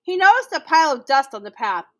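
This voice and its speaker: Female school storyteller, high-pitched, expressive picture-book reading with emphatic, drawn-out repeated words. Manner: emphatic, with drawn-out repetitions for effect. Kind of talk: expressive children's picture-book read-aloud.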